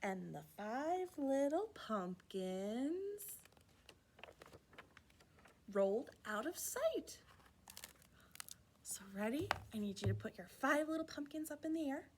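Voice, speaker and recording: A woman reads to small children in a high, very melodic voice. Her pace is unhurried and her manner is playful and encouraging, with exaggerated child-directed delivery. Some background noise sits behind her. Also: very animated, a little mellow. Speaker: children's library storyteller. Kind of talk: animated read-aloud and singalong for young children.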